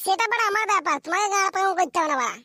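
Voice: extremely high pitch, animated, rapid-fire, sharp tone, dry recording, studio quality, full character voice. A performer voicing a cheeky, whiny, foul-mouthed young bridegroom in a slapstick comedy cartoon. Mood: defiant.

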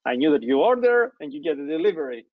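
A man from Greece in his thirties hosting an interview over a video call, talking haltingly with many restarts and fillers. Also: on the high side, sing-song, dry recording. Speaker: host. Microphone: laptop webcam mic on video call. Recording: dry, dull lo-fi call audio.

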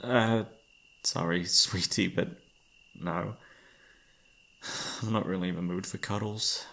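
A man's voice: deep voice, steady tone, takes it slowly, creaky, exaggerated voice. A man playing an exhausted, affectionate young boyfriend in an intimate ASMR roleplay, speaking hesitantly with sighs. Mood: weary, reluctant. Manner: tired, sighing, hesitant, soft-hearted.

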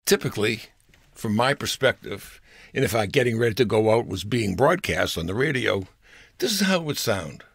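A man's voice: on the low side, lively intonation, normal speed, vocal fry, breathy edge, no room sound, clean studio sound. An older man reading his own humorous personal story aloud in a wry, storytelling manner. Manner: wry storyteller with playful voices.

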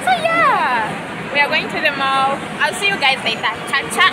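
High-voiced young woman vlogging amid noisy crowds. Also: huge pitch swings, normal speed. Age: 20s. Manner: chatty, informal, addressing viewers directly. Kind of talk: casual vlog chat. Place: on the move: train, station, mall, street. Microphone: phone at arm's length. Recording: noisy crowded public spaces, traffic and chatter.

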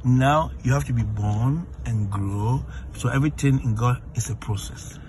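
A man, a visiting preacher, giving a casual interview through an interpreter, speaking slowly with restarts and trying out some Spanish. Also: medium pitch, a little mellow, a little hiss or hum. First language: not Spanish. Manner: halting, repeats words, mixes in simple Spanish.

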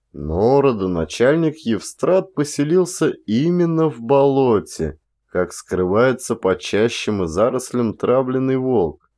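A man reading a literary audiobook as an actor, with measured, dramatic phrasing and deliberate pauses. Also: mid-range voice, dark tone. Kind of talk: expressive literary audiobook narration.

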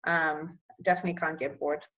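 A young woman with Bulgarian as her native tongue talks about public health work in a casual interview. Her voice sits a little low, and she is measured, often pausing to search for words. The sound has the feel of a video call. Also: fairly even intonation, fairly bright tone, muffled recording. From Eswatini.

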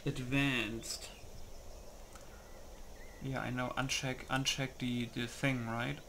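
A man's voice: medium pitch, little pitch movement, slow pace, vocal fry, sharp tone, a little hiss or hum. A man doing casual game commentary, muttering to himself as he reads settings aloud and comments loosely. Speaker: gamer recording a mod setup.

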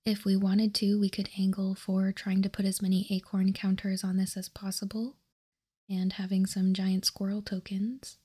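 A woman whispering in a breathy voice as she slowly and deliberately presents trading cards, ASMR style. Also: mid-range voice, monotone, mellow. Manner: slow, deliberate, reading card text piece by piece. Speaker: host of a trading card ASMR channel. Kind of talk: ASMR whispered card showcase.

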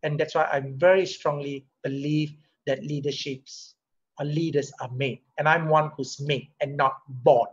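A middle-aged man with a Singaporean English accent, a company CEO giving a motivational leadership talk over a video call, asking rhetorical questions and getting animated as he makes his points. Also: on the high side, unhurried, breathy edge. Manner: conversational, rhetorical questions, rises animatedly when making a point. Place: home or office room, webcam. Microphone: webcam or laptop mic on a video call. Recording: muffled video call audio.

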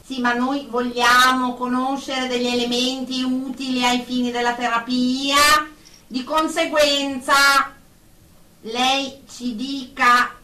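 Woman playing a bossy lady therapist, high voice, slow and scolding, radio drama, roomy and off mic. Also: level tone, bright, forceful tone, heavily acted. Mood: stern, impatient. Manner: formal, clinical, scolding. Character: stern, bossy female therapist running group session. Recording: roomy, distant mic, faint noise.

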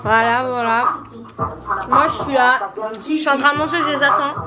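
A woman's voice: high-pitched, moderate pace, over loud music. A teenage girl chatting playfully to the camera.

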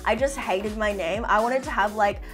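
A woman's voice: mid-range voice, normal speed, music in the background. A young woman in her twenties chatting casually to camera in a bedroom, bubbly and full of fillers. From half Filipino. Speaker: beauty vlogger. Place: indoor bedroom with fairy lights.

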